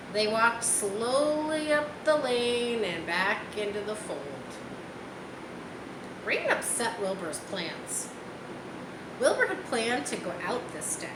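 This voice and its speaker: Woman, slightly high voice, children's read-aloud, explanatory asides, echoey room, loud background noise. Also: very animated. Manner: unhurried, explanatory, talking directly to listeners. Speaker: storybook reader. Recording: roomy echo, loud vehicle-like background noise.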